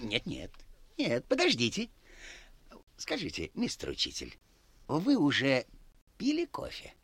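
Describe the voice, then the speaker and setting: A man's voice: medium pitch, wildly swooping pitch, measured pace, fairly bright tone, breathy edge, no room sound, full character voice. An actor playing a flustered, blustering, dim village policeman who stammers and repeats himself in a radio drama. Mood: wheedling, fussy. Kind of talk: 1960s Soviet radio drama acting.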